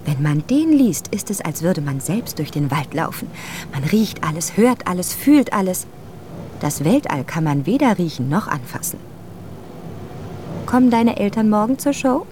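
An actress playing a bossy, impatient female teacher in a radio drama, briskly ordering children about and talking down to them. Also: on the low side, very animated, warm tone, slight background noise. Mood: condescending. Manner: brisk, scolding, talks down to children.

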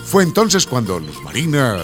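An actor as the playful narrator of a radio serial, delivering scripted storytelling. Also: male voice, medium pitch, wildly swooping pitch, moderate pace, over music. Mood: playful, narrating.

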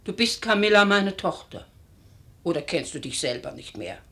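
A woman's voice: medium pitch, very animated, moderate pace, fairly bright tone. An actress playing an older, poor village mother, insistent, motherly and reproachful, with plain questioning speech in a German radio play. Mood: dismayed, reproachful.

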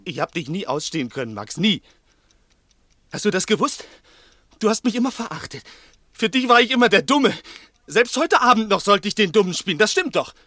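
High-strung crook with a high, bright voice. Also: male voice, big pitch swings, fairly quick, long pauses, no room sound, clean studio sound, soft background music, full character voice. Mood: bitter. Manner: agitated, insistent, repeating himself. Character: nervous, resentful small-time male crook with a revolver. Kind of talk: German radio play acting, 1960s.

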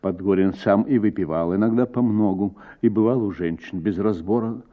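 A man reading a literary short story as a radio narrator, with measured, dramatic phrasing and drawn-out words. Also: fairly low pitch, narrow, tinny audio.

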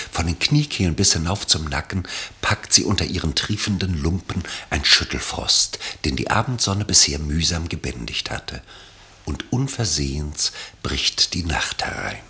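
A man narrating a radio play from a literary script in a measured, dryly ironic way. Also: low-pitched, pushed and bright.

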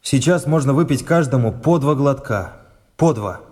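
A man acts a stern Soviet captain in a war radio drama. He has a medium-pitched voice and gives short, authoritative, composed lines. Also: animated, moderate pace, a little mellow, close to an everyday voice. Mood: commanding. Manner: authoritative, curt, dry. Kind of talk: radio play acting.

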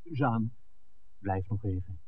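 A man's voice: lowish voice, slow pace, slightly rough. An actor playing an eager, impulsive, ardent man in a 1960s radio drama, speaking earnestly and directly. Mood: earnest, smitten. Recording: narrow, noisy old radio recording.

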